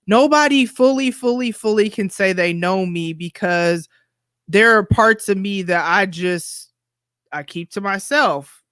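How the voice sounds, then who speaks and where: A woman's voice: mid-range voice, measured pace, fairly bright tone, no room sound, clean studio sound. A woman in her forties hosting her own podcast, talking candidly in a chatty, blunt way. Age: 40s. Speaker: podcast host. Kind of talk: solo podcast monologue.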